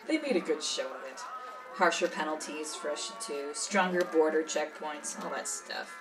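A jaded woman smuggler acted by a woman tells her story in an audio drama interview. Her voice is low and worldly, matter-of-fact and cynical. She sounds a little far from the mic, with music clearly behind her. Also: moderate pace, lightly acted. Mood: wry, matter-of-fact. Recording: slightly distant, music behind.

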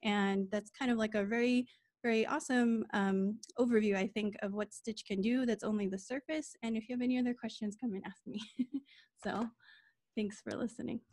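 A woman walks through a coding demo over a video call in a casual, informal way. She talks quickly and corrects herself on the fly. Her sound is dry and close. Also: on the high side, steady tone, a little mellow, no room sound. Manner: quick, informal, with small laughs and self-corrections. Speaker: lightning talk speaker.

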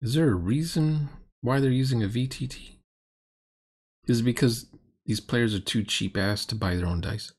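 A man's voice: mid-range voice, moderate pace, husky. A middle-aged man giving critical, sarcastic commentary on a roleplaying game in a grumbling, mocking way. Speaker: commentator reacting to a recorded roleplaying session.

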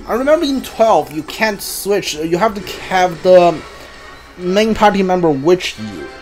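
Higher-pitched man streaming a video game. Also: very animated, unhurried, darkish tone. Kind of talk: casual gameplay commentary. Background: video game music.

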